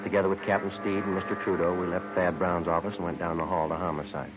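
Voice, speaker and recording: An actor playing a brisk, terse detective sergeant, narrating his case in a clipped, deadpan, matter-of-fact way for a 1950s radio police drama. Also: low-pitched, lightly acted. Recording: tinny old radio recording, music behind.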